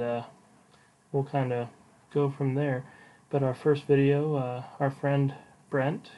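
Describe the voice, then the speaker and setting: A male podcast host talks casually and off the cuff on his own, with a halting, informal delivery. His mid-pitched voice is soft-edged and mellow and stays quite even in tone. There is slight noise behind him. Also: low-quality recording. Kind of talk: casual solo podcast update.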